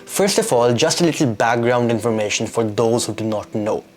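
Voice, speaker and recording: A man's voice: medium pitch, animated, moderate pace, darkish tone. A man, talking earnestly and reflectively in a scripted vlog, asking rhetorical questions. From Pakistan. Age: 20s. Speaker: YouTube creator.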